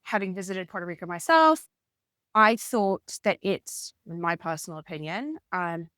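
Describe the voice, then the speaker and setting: A woman's voice: lowish voice, expressive, moderate pace, fairly bright tone, no room sound, studio quality. A young adult woman hosting a podcast interview, friendly and chatty with many fillers. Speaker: podcast host. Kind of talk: casual interview chat.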